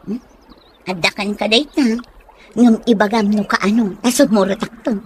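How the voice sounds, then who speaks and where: A woman's voice: medium pitch, moderate pace, slight background noise, lightly acted. A woman playing an inquisitive, practical young friend in an Ilocano radio drama, with a Filipino Ilocano accent. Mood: inquisitive, concerned. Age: young adult.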